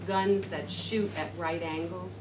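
A middle-aged woman speaks to a group in a classroom, her voice a bit low and heard from across the room. The recording is roomy, and steady noise sits underneath. She rambles, piling up fillers and restarts as she goes. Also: a little slow, recorded from a distance. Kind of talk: informal talk to a seated audience. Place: classroom, indoors. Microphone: far camera.

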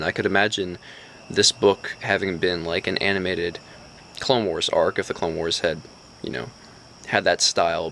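A man's voice: low-pitched, gravelly, a little hiss or hum. A young man talking casually into a camera at arm's length, rambling with lots of fillers in a small room. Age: young adult. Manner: relaxed, rambling with many fillers. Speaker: vlogger. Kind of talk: casual video diary. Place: small bedroom and dorm room indoors. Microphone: phone or camera at arm's length.

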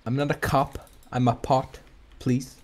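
A man chatting casually during a co-op video game, often in halting, hesitant phrases. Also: mid-range voice, level tone. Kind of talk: casual co-op gaming chat.

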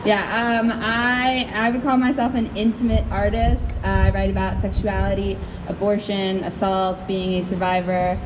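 Young adult woman, American accent, spoken word poet, candid projected delivery, mid-range pitch, outdoor microphone, clearly noisy background. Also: flat delivery, moderate pace, few pauses. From Chicago, USA. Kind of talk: live spoken word poetry reading with casual asides. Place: outdoors under a canopy tent. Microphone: stand microphone. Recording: clear background noise, outdoor event ambience.

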